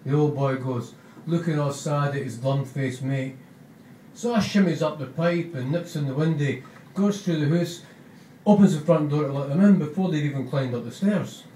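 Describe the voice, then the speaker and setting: A man reading aloud as a gentle young male junkie narrator with a Scottish accent, in broad rambling dialect. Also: a little high, normal speed, slight background noise, natural voice. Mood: wry. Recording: roomy, distant mic.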